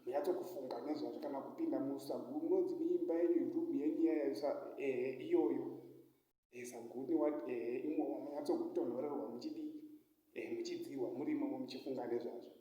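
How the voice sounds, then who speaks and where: A man's voice: medium pitch, quick pace, mellow. A Zimbabwean man speaking as if on a comic one-sided phone call, hesitant and pleading, with frequent repeated interjections. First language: Shona. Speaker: YouTuber. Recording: very echoey, roomy sound.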